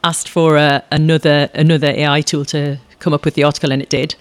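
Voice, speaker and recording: A woman's voice: low-pitched, flat delivery, fast talker, no room sound. A woman performer being interviewed, talking in a chatty, rambling way, often adding tag questions and restarting her sentences. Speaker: guest performer. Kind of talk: conversational interview.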